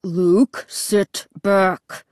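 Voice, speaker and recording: A woman's voice: fairly high pitch, animated, normal speed, a little mellow, no echo, clean studio sound, natural voice. A woman voicing an irritated, weary father with a snappish, muttering manner in an audiobook.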